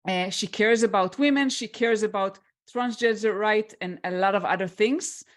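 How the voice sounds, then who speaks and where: A woman's voice: mid-range voice, normal speed. A woman who hosts a podcast, introducing and thanking her guest graciously and asking her questions. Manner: gracious, thankful, lavish praise. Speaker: podcast host. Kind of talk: podcast hosting and interviewing.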